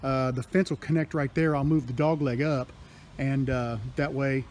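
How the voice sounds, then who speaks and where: A man's voice: medium pitch, steady tone, normal speed, darkish tone, a little hiss or hum. A man in his forties, chatting casually in a rambling DIY vlog, filmed outdoors in his backyard and garage on a phone at arm's length. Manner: chatty, rambling with fillers and restarts. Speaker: workshop vlogger. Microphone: phone held at arm's length.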